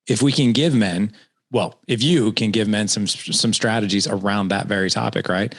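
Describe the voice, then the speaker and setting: A man's voice: fairly low pitch, normal speed. A man in his forties hosting a podcast interview, chatting casually and energetically, often repeating words. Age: 40s. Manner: energetic, repeats words, asks leading questions. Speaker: podcast host.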